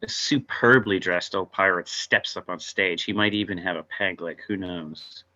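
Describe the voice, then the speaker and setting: A man narrates stage directions at a table read. His voice is fairly low but bright and forceful. The recording is somewhat lo-fi. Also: moderate pace.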